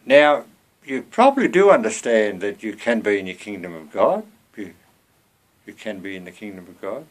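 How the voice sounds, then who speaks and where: An elderly man in his seventies with a fairly low voice speaks as a lay preacher. His delivery is earnest and conversational, like an informal sermon given from a small room at home, with rhetorical questions put to the listener. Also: very animated, moderate pace. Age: 70s.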